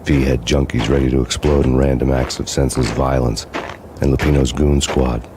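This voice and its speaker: An actor playing a weary male detective who narrates a brooding noir voice-over in a deadpan tone. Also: rumbling low, normal speed, noisy background. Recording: game audio with loud music.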